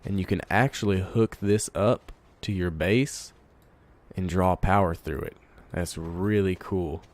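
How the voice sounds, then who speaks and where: A man with a congested voice from a cold, giving relaxed let's play commentary, thinking aloud while he plays. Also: low-pitched, a little slow. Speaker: gamer.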